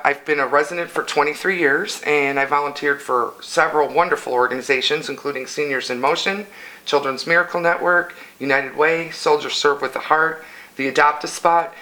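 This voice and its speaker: A woman's voice: low voice, fairly quick, bright, forceful tone, poor audio. An older woman from Illinois with an Illinois accent, a council candidate answering interview questions earnestly and often restarting her sentences.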